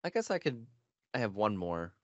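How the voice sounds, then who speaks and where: A man, co-hosting a podcast in a laid-back, halting, conversational way. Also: on the low side, big pitch swings, fairly bright tone, dry recording, lo-fi sound. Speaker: podcast co-host. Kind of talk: casual chat about video games. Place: home bedroom. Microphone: headset, video call.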